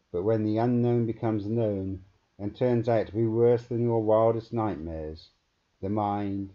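Very dark, husky male story narrator. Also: fairly low pitch. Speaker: author reading own story. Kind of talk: dramatic audiobook narration. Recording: dull, muffled narrow-band recording.

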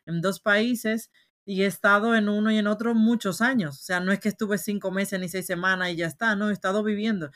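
Spanish woman w/ a bit of dominican in her accent, chatty podcast cohost, animated stories. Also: mid-range voice, fairly quick. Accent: Spanish with Dominican mix. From Spain. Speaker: podcast co-host. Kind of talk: casual chat.

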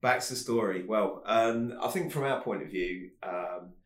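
Deep-voiced man rambling in a roomy office. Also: a little slow. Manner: chatty, rambling with many fillers. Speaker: food director guest. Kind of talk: casual podcast interview. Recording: roomy echo.

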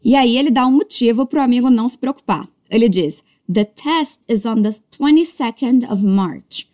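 A woman teaching English as a language lesson, modeling words slowly and carefully before brisk explanations. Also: fairly high pitch, mellow. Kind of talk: slow drilled pronunciation lesson.

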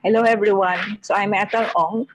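A woman from the Philippines, attending from a university, introducing herself warmly and briefly in an online meeting. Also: medium pitch, fairly even intonation, brisk, noisy background. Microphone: video call.

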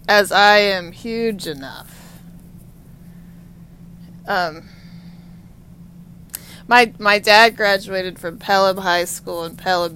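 Slow, halting woman in her thirties. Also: medium pitch, fairly bright tone, smooth, no echo. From Texas. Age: 30s. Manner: halting, repetitive, frequent pauses and ums. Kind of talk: rambling personal monologue.

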